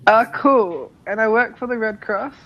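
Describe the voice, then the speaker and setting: A man's voice: sits high, moderate pace, lo-fi sound, slight background noise. An Australian man chatting casually and loosely on a live video call. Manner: friendly, chatty and loosely phrased. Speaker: guest on a live video chat. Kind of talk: casual chat.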